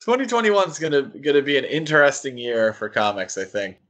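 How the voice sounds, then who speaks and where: A man's voice: medium pitch, expressive, a little fast, a bit bright. A man hosting a podcast, chatting in a casual, conversational way. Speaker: podcast host.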